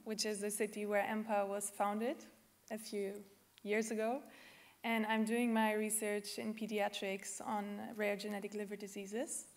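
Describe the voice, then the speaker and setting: A young woman, a student researcher, briefly introducing herself as presidential candidate in a conference hall. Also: mid-range voice.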